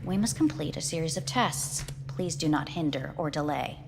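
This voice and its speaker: A woman's voice: low voice, animated, normal speed, music in the background. A woman playing a cold, procedural doctor in a video game, giving clipped, impersonal test instructions. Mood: detached, procedural. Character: cold, procedural female doctor running cognitive tests. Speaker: medical examiner. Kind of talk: scripted clinical instructions. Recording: clearly noisy background ambience.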